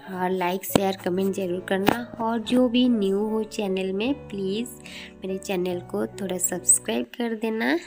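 A young woman from India narrates a home vlog in a casual way. She talks slowly at a middling pitch, with music playing clearly behind her. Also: darkish tone. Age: young adult. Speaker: daily-life vlogger.

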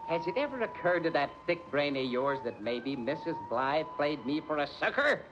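An actor playing a gruff, impatient older police lieutenant in a 1940s radio mystery, bossy and exasperated. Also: male voice, a little high, big pitch swings, moderate pace. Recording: muffled 1940s radio recording, faint noise.

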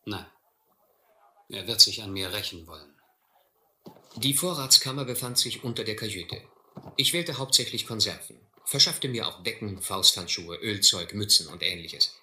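An actor playing an earnest, educated man in a sea adventure radio play, with a measured, literary delivery. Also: lowish voice, animated, sharp tone. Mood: grave, reflective. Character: earnest, educated castaway man narrating his sea ordeal. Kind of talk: German radio play acting and narration. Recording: roomy, faintly noisy old radio play.

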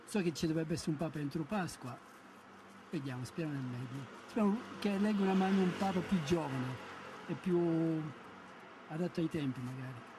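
A middle-aged man giving a measured, thoughtful street interview answer outdoors beside a car. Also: a little high, background noise. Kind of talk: street vox pop.

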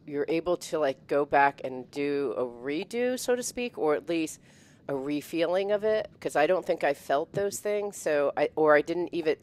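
Middle-aged woman, guest on a video call, headset mic, emotional and animated, frequent laughs and restarts. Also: sits low. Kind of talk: casual chat. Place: home room, indoors.

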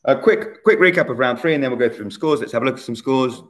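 A man in his forties hosting a casual online quiz from a bright room at home, chatty and teasing, recorded on a laptop webcam. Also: medium pitch, quick pace. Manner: chatty, teasing, self-mocking. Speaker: quiz host.

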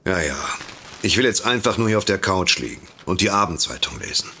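An actor playing a weary, sarcastic pulp novelist in a comic audio drama. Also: male voice, sits low, big pitch swings, brisk, sharp tone, breathy edge, full character voice. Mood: bored, sarcastic. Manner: dry, sarcastic.

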